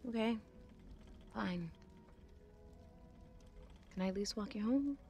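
A woman playing a defiant, earnest American teenage girl in a naturalistic video game cutscene, quick to defend herself. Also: mid-range voice, warm tone, faint music behind. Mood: wistful.

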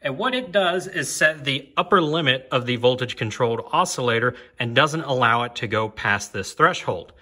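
A man's voice: mid-range voice, lively intonation, moderate pace, few pauses, sharp tone, husky. A man giving a casual, hands-on technical demo in an informal, explanatory way. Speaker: hobbyist demonstrating siren equipment. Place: on a concrete floor beside open equipment.

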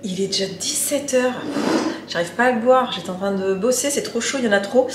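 A woman's voice: fairly high pitch, a little fast. A woman in her forties talking casually to camera in a vlog, chatty and a bit exasperated, the camera held at arm's length. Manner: chatty, exasperated, self-mocking. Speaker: vlogger. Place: apartment indoors, also outdoors in the street. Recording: echoey room, faint background noise.

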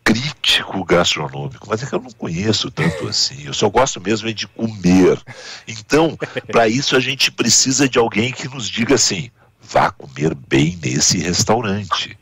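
A man in his thirties with a Gaúcho accent hosting a radio interview, chatty and animated, full of tag questions. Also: on the low side, normal speed, vocal fry, slightly rough. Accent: Gaúcho Portuguese. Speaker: radio host. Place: radio studio. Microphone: studio mic with headphones.

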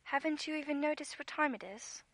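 Woman playing an eerie, high-voiced caller. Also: a little fast, a bit bright, no room sound. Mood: insistent, needling. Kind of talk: radio play acting.

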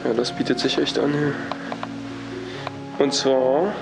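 A man explores an abandoned building and talks casually about what is around him. His voice has an ordinary medium pitch, and clear music plays underneath. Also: fairly even intonation, slow. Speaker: urban explorer and presenter. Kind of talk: casual on-location commentary while exploring. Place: inside a derelict building.

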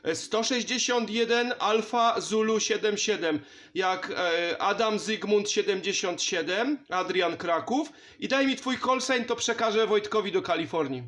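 A man's voice: high voice, unhurried, fairly bright tone. A man chatting casually and in a friendly way as a CB radio operator. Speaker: CB radio operator and channel host. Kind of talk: casual CB radio contact chat. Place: indoor home radio station.

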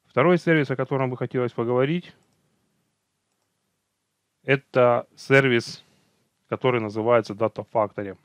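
A man's voice: mid-range voice, steady tone, normal speed, pauses often, no echo. A man teaching an online technical training over slides, talking unhurriedly, with hesitations and self-corrections.

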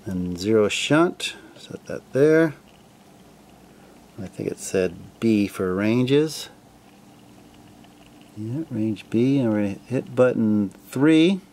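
A man casually narrating his radio restoration at a home workbench, relaxed and full of fillers as he thinks aloud. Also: medium pitch, a little hiss or hum. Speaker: hobbyist restoring a vintage radio.

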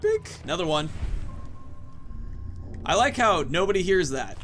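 Male gaming host, 30s, slightly high voice. Informal, rambling, thinking-aloud delivery over music. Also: big pitch swings, measured pace, fairly bright tone. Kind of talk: casual let's-play commentary.

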